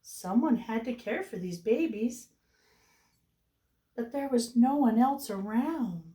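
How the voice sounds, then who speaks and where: A woman reading a storybook aloud to young children as a teacher, with animated delivery and chatty asides. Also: fairly high pitch, slow pace. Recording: roomy echo, distant microphone.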